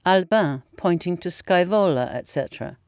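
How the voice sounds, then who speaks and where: A woman's voice: fairly low pitch, animated, normal speed, darkish tone, clean tone, dry recording. A woman reading stage directions as the narrator of an audio play.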